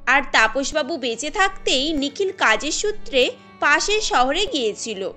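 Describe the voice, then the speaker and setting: A woman's voice: sits high, pushed and bright. A woman narrating a cartoon story from a script.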